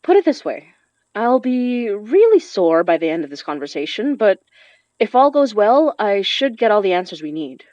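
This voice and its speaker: A woman's voice: medium pitch, big pitch swings, moderate pace, darkish tone, no room sound, natural voice. A woman playing a determined, informal young spirit summoner in a horror audio drama, giving things a self-possessed, casual tone. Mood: serious. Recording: dry, slightly dull studio sound.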